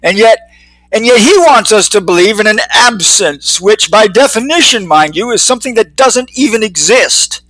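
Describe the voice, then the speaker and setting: A man's voice: high voice, animated, normal speed, a bit bright, no room sound, pitched above the performer's own voice, rough recording. A man voicing a pompous, know-it-all male fly who lectures and sneers. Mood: lecturing.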